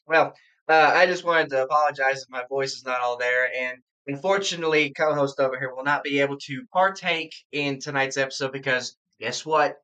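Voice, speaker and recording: Man, hoarse throat, sharp bright tone, relaxed joking banter, muffled lo-fi stream audio. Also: mid-range voice. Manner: laid-back, joking, drawn-out asides. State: hoarse, fighting off a sore throat. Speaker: co-host of a bourbon tasting stream. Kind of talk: casual live-stream banter.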